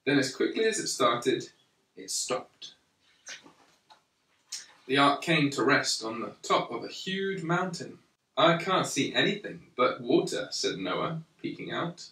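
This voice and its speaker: A man reads a children's story aloud at a slow, deliberate pace. His voice is bright and sits at an ordinary male pitch. The recording is roomy and echoey, a little away from the microphone. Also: far from the mic. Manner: measured and deliberate, with pauses between phrases. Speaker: storyteller reading a Bible picture book to a young child.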